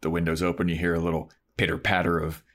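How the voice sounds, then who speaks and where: Male podcast co-host, fairly low register, informal and joking, conversational recap style. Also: completely flat delivery, fast, a bit bright. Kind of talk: casual chat, retelling a TV episode with acted-out quotes.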